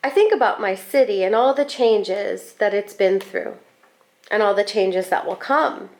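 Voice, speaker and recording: A woman reading a children's picture book aloud expressively, with deliberate, animated phrasing and drawn-out words. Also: medium pitch.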